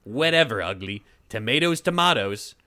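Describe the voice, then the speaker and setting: A man voicing a nasty, mocking female witch with high sneering taunts. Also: big pitch swings, moderate pace, a bit bright, dry recording. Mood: taunting.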